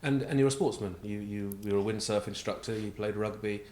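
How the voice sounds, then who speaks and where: A man conducting an informal interview, asking questions in a measured, prompting way and paraphrasing what he hears, with a roomy, echoing sound. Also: fairly low pitch, a little mellow.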